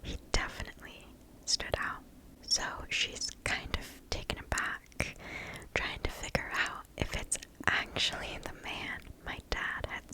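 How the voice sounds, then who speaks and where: A woman hosting ASMR, reading and chatting in a soft, breathy, unvoiced whisper very close to the microphone. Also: poor audio. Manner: soft, slow, unhurried. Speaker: ASMR video host.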